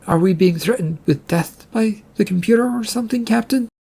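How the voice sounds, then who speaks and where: Man voicing a exasperated, higher-pitched woman engineer. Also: brisk, vocal fry, warm tone, husky, full character voice. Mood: complaining. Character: female ship's engineer, jumpy, exasperated, stubborn.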